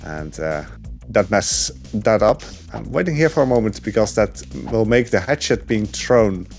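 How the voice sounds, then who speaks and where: Low-voiced man commentating a speedrun. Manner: relaxed, chatty, many fillers. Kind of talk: casual gameplay commentary. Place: home living room couch.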